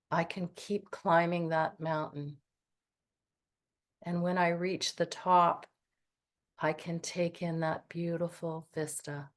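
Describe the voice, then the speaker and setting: A woman guiding a meditation, speaking in a calm, gentle and soothing way with long pauses. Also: on the low side. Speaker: meditation guide. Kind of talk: guided meditation.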